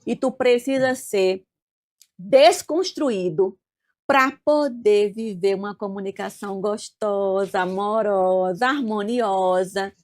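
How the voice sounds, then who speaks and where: A woman's voice: mid-range voice, creaky, darkish tone. A woman from Northeast Brazil with a Northeastern accent, giving emphatic coaching-style advice as a studio guest and stretching out key words. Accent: Northeastern Brazilian Portuguese. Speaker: guest speaker. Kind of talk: motivational studio talk.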